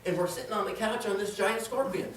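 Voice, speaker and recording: A man's voice: high voice, fast talker, fairly bright tone. A man telling a comedic tall tale live, in a theatrical, dramatic manner with exclamations and acted-out quotes. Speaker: storyteller in a tall tales contest. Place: indoor room before a live audience. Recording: echoey room, distant mic, noisy.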